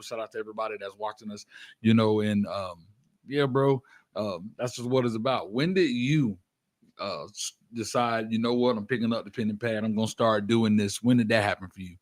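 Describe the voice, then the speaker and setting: A man's voice: fairly low pitch, brisk, no echo. A man hosting a hip-hop radio show, chatting casually with slangy, affirming hype.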